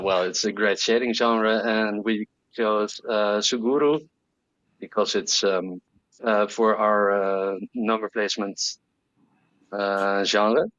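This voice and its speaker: Slow, halting man. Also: lowish voice, a bit bright, no echo, lo-fi sound. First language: Dutch. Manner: halting, searching for words. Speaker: puzzle setter guest. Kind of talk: casual livestream chat.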